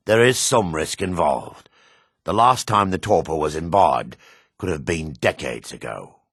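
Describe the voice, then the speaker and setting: Dark elf priest, very low husky voice, expressive swings, earnest, formal, fantasy game. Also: male voice, no echo, studio quality, full character voice. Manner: formal, measured, grave delivery. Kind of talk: fantasy video game voice acting.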